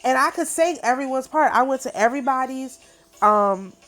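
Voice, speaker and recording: A woman's voice: sits high, moderate pace, a bit bright. A middle-aged woman talking casually to camera in an emphatic, repetitive, boastful way. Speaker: spiritual channel host. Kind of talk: casual spoken monologue.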